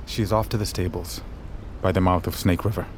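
A man's voice: deep voice, fairly even intonation, fairly quick, a little hiss or hum, close to an everyday voice. An actor playing a stern, older father who knows hidden things, speaking solemn, authoritative, archaic lines in an audio drama. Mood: cryptic. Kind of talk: audio fiction drama acting.

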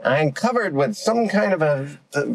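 A man's voice: a little high, lively intonation, moderate pace, dry recording. A man co-hosting a podcast, chatting casually and playfully, tripping over words and laughing often. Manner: playful, tongue-tied wordplay, laughs often. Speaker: podcast co-host. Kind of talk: casual comedic chat.

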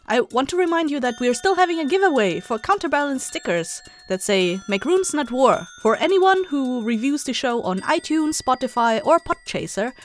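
A woman podcast host reading a scripted announcement to listeners. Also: a little high. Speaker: show host and voice actor.

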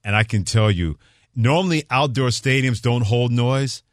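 A man's voice: medium pitch, normal speed, no room sound, clean studio sound. An American man co-hosting casual sports talk, speaking in an avuncular, emphatic way. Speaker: sports radio co-host.

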